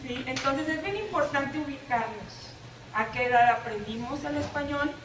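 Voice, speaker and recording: A woman's voice: high voice, moderate pace, a little hiss or hum. A woman from the Laredo border with a northern Mexican Spanish accent, giving an interactive talk to teachers and often asking them "¿verdad?". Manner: engaging, asks the audience questions, tag "¿verdad?". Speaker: presenter at a teacher workshop. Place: lecture room. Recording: echoey room, from far off.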